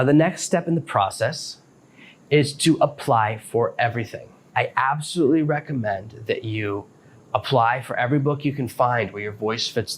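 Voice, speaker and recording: A man's voice: medium pitch, slight background noise, low-quality recording. A man hosting a podcast, explaining things step by step in a casual, matter-of-fact advice monologue. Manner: practical, matter-of-fact, self-employed author explaining step by step. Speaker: podcast host.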